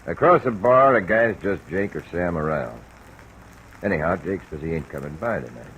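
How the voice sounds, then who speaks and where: An actor playing a shifty, slangy American bartender and evasive informant in an old radio detective drama. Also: male voice, deep voice, moderate pace. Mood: evasive, chummy. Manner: slangy, streetwise, hedging. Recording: noisy old radio recording, steady hiss.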